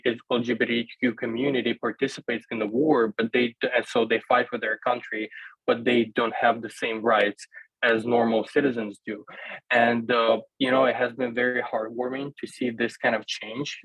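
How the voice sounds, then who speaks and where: A man's voice: mid-range voice, creaky, fairly bright tone, breathy edge, no room sound. A man in his twenties from Ukraine, a native Russian speaker, answering on a video-call panel in a hesitant way, with many fillers and restarts. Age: 20s. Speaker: panel guest. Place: small room indoors. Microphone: laptop webcam video call. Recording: dull lo-fi video call audio.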